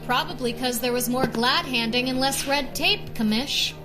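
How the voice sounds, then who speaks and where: Woman playing a tough high-voiced 1940s heroine. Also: normal speed, close to an everyday voice. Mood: wry. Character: tough, wry 1940s heroine, a masked crimefighter. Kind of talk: dramatic audio drama acting. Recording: dramatic music behind.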